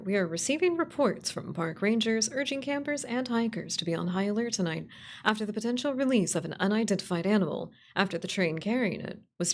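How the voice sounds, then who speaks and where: A woman's voice: medium pitch, darkish tone, slight background noise, lightly acted. A woman voicing a late-night radio host, reading a scripted bulletin in a formal announcer manner for a radio play.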